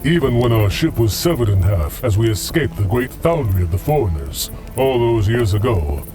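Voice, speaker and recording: Casting: male actor as honorable alien commander, solemn and formal, lowish voice with sweeping inflection, science fiction audio drama over music. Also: very animated. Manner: formal, stately, measured oratory. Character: noble, weary alien warrior leader, honorable and solemn.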